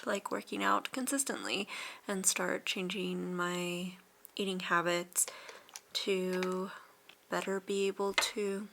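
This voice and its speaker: Late-twenties woman, casual vlogger, unhurried and a bit gravelly, easygoing rambling delivery. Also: fairly low pitch. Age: 20s. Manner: relaxed, rambling with frequent fillers and soft laughs. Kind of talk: casual vlog chat.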